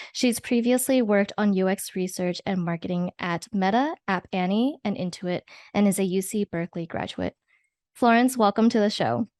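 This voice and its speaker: A woman podcast host with a bright, upbeat delivery, moving from a read introduction into friendly interview questions. Her voice is mid-pitched for a woman. The sound is close and dry, with no room echo.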